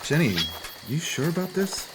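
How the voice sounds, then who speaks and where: A man's voice: on the high side, expressive, normal speed, noisy background, lightly acted. A man playing an eager, crude teenage boy in a fantasy audio drama, with a flustered, slangy way of talking. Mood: uneasy.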